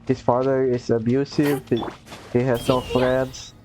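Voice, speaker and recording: A woman game streamer giving casual, low-key commentary in short reactions as she plays. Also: flat delivery, measured pace. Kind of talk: casual live commentary over gameplay. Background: game music.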